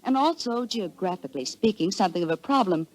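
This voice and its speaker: Plucky young American heiress, played by an actress, animated. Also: female voice, mid-range voice, quick pace, close to an everyday voice, rough recording. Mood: cheerful, teasing. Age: young adult. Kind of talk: 1940s radio drama acting.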